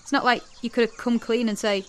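An actress playing a young, rebellious daughter in an audio drama, speaking with sarcasm and raw emotion. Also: female voice, on the high side, flat delivery, brisk, natural voice. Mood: bitter, sarcastic.

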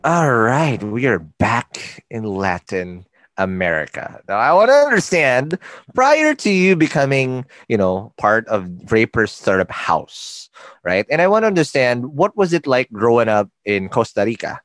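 A man with a Filipino accent hosting a casual interview podcast, playful and energetic, laughing often. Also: medium pitch, measured pace, no echo. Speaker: podcast host.

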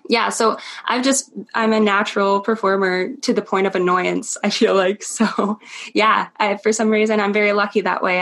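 Young woman, gravelly fry, chatty interviewee with easy laughs. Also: medium pitch, fairly bright tone, a little hiss or hum. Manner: chatty, laughs often, many ums. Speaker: interview guest, stage performer. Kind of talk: casual interview chat.

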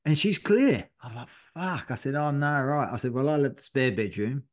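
A man's voice: mid-range voice, animated, moderate pace. A middle-aged man co-hosting a podcast, telling a long story in casual chat and swearing freely. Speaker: podcast co-host.

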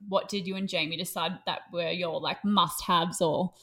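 A woman's voice: fairly low pitch, steady tone, normal speed, fairly bright tone. A woman hosting a podcast, asking casual questions full of hedging fillers. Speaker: podcast host. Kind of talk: casual interview.

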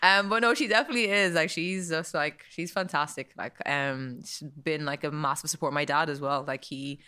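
Bright low-voiced Irish woman. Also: normal speed, smooth. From Ireland. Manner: chatty, lots of likes and ums, laughs easily. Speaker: guest. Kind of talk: casual interview chat.

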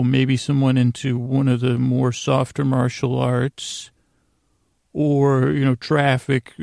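Man, heavy gravelly creak, flat intonation, meandering bedtime podcast host, halting asides. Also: medium pitch, dry recording, studio quality. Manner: meandering, halting, self-interrupting, drifting into asides. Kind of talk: rambling solo monologue, bedtime podcast.

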